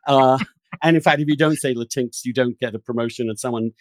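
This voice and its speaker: A male podcast host speaks in a mid-pitched voice with very little room sound around it. He is opinionated and emphatic in conversation, and is not shy about swearing. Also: fast talker, dry recording, studio quality.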